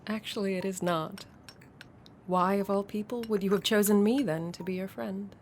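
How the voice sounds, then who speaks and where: A woman's voice: mid-range voice, steady tone, slight background noise, close to an everyday voice. Guarded, mysterious actress's woman's voice. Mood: cryptic. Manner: measured, cryptic, theatrical. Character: mysterious, guarded woman who travels the dreamlands. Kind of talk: radio drama acting.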